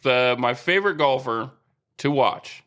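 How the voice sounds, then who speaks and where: A man's voice: mid-range voice, animated, measured pace, a bit bright. A middle-aged man co-hosting a podcast, teasing his friend and laughing easily while rambling about sports. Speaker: podcast co-host. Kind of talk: casual chat.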